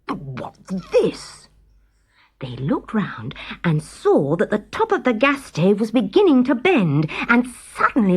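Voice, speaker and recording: A woman narrating a scripted children's story as an expressive storyteller. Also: fairly high pitch, noisy background. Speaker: story reader.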